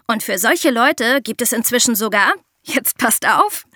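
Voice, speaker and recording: A woman's voice: on the high side, expressive, a little slow, pushed and bright, no echo. A woman co-hosts a podcast. She explains things in a conversational way, in a dry, close recording. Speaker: podcast co-host.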